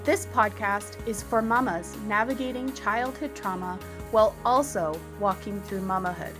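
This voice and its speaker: A woman's voice: mid-range voice, normal speed, over music. A woman hosting a podcast, upbeat and encouraging as she talks with a guest. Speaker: podcast host. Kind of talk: podcast interview.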